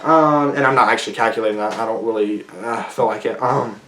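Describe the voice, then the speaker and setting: A man hosting his own casual solo podcast, rambling and chatty with lots of ums and restarts, his voice going hoarse. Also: on the low side, a little fast, fairly bright tone, reverberant, slight background noise, low-quality recording. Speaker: podcast host.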